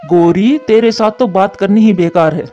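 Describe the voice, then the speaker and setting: A man's voice: high voice, normal speed, warm tone, close to an everyday voice. A man voicing an earnest, admonishing crow, a concerned father in a cartoon. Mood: exasperated. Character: male crow, concerned scolding father and husband. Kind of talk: Hindi cartoon voice acting. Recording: muffled narrow-band audio over music.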